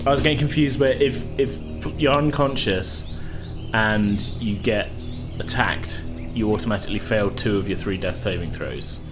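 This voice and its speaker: A man's voice: on the low side, moderate pace, over music. A British man casually narrating a tabletop game as game master. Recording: clear background noise.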